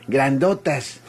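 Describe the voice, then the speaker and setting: A man's voice: medium pitch, breathy edge. An actor playing a leering, chuckly country man with a rural Mexican accent in an old radio drama. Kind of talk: golden-age Mexican radio drama acting. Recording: slightly noisy old radio recording.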